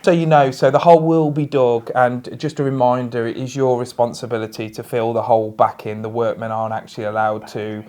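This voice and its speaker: A man's voice: mid-range voice, flat delivery, fairly quick, few pauses, darkish tone, close to an everyday voice. A man playing an earnest, bureaucratic council official on a prank call, stammering and repeating himself with polite insistence. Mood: politely insistent.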